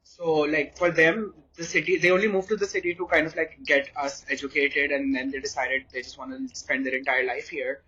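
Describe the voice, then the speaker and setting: A young man from Pakistan talks loosely as a podcast guest, circling his thoughts with plenty of fillers. His voice sits a little high, and the recording sounds slightly muffled and lo-fi. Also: fairly quick, hardly any pauses, husky. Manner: relaxed, rambling, many fillers. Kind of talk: casual podcast chat. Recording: slightly dull lo-fi audio.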